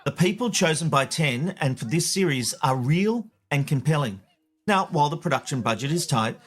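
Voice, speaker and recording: A man with an Australian accent hosting a media commentary show, wry and opinionated. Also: mid-range voice. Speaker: host.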